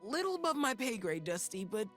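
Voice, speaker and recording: An actor playing a cocky, warm, street-smart teenage boy inventor in a video game, with playful, breezy slang. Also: medium pitch, big pitch swings, brisk, faint music behind.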